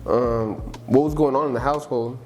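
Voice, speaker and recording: A man hosting a casual interview podcast, talking in relaxed slang and often agreeing with the guest. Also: mid-range voice. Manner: relaxed, slangy, quick back-channel agreement. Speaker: podcast host.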